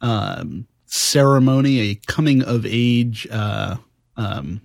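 A man hosting a casual podcast chat in a halting, conversational way. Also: on the low side, vocal fry, dry recording, studio quality. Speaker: podcast host and interviewer.

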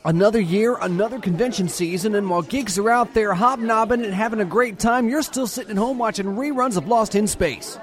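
A woman's voice: mid-range voice, fairly quick, few pauses. A woman reading a scripted promo as an announcer.

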